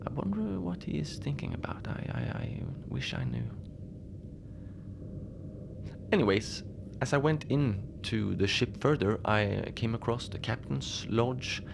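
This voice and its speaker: A man playing a mild, lonely Antarctic station manager in a cozy horror audio drama, speaking in a hesitant, understated deadpan as he logs his shift. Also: medium pitch, normal speed, creaky, hardly any pauses. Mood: musing, wistful. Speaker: narrator of a fiction podcast. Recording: noisy ambient bed behind the voice.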